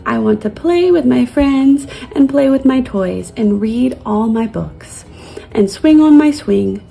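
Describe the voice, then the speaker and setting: A little boy raccoon from a children's storybook , voiced by a woman, anxious and full of pleading questions. The voice is high and mellow, with a slightly husky edge. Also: lively intonation, takes it slowly, heavily acted, rough recording. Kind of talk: children's storybook read-aloud.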